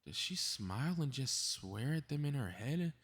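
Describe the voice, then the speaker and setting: A man's voice: mid-range voice, very animated, moderate pace, a bit bright. A man playing a cocky, snarky superhero in a scripted audio roleplay, muttering to himself with sarcastic exasperation. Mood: indignant. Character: cocky, snarky, meddling invisible male superhero grumbling to himself.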